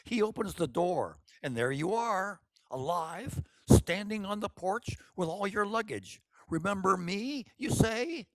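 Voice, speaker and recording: A man's voice: high-pitched, no room sound, voice pushed up, rough recording. A man voicing a bossy, scheming older woman who talks in a pushy, dramatic way.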